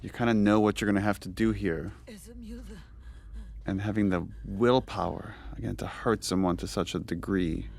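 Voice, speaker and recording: A man's voice: lowish voice, steady tone, normal speed, creaky, darkish tone. A man giving unscripted behind-the-scenes commentary in a thoughtful, explanatory way, feeling out his phrasing. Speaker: game director giving commentary.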